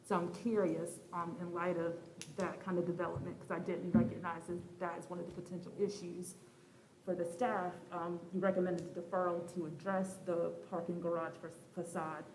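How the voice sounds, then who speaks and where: A woman's voice: lowish voice, flat delivery, normal speed. A woman asking staff a careful, wordy question as a commission member at a public meeting. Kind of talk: formal but conversational meeting question. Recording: roomy echo with faint background noise.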